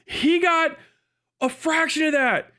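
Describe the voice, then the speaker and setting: A man's voice: extremely high pitch, slow, bright, forceful tone. A man ranting on a casual livestream, mocking and incredulous, swearing heavily as he reacts to a video.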